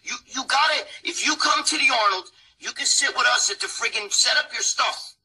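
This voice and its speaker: A man's voice: extremely high pitch, animated, brisk, sharp tone, breathy edge, soft background music, low-quality recording. A man in his fifties with a New York accent, hosting a live interview show and talking in a rambling, effusive way. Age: 50s. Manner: rambling, effusive, restarts a lot. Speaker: host.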